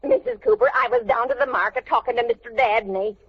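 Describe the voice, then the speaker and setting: Animated, high-voiced confiding maid, old-time radio comedy, muffled sound. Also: female voice, fast talker, breathy edge, full character voice. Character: conspiratorial, loyal household maid. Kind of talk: 1940s-50s radio sitcom acting. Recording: muffled vintage radio recording.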